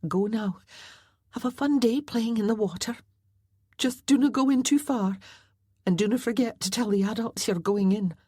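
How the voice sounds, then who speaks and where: A woman voicing a tender young mother who speaks softly and earnestly, sometimes in a whisper. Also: fairly high pitch, normal speed, husky, dry recording, studio quality, voice pushed up. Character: tender young Scottish mother, loving but firm.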